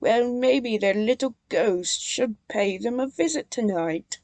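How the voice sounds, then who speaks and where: A woman's voice: a little high, expressive, slow pace, darkish tone, no echo. Woman doing a sly, scheming old engine. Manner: sly, chuckling.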